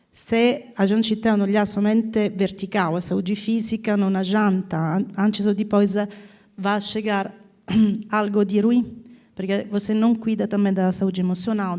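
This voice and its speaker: A woman in her fifties with a heavy Italian accent gives a panel talk in a soft, mellow tone. She slides between languages mid-sentence and keeps clearing her throat, with an even, middling pitch. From Italy. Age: 50s. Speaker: panel speaker, corporate people director.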